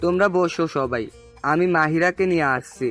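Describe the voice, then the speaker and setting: A man's voice: on the high side, a little fast, fairly bright tone, soft background music, lightly acted. A man playing a kindly, welcoming middle-aged father in an audio story drama. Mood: attentive.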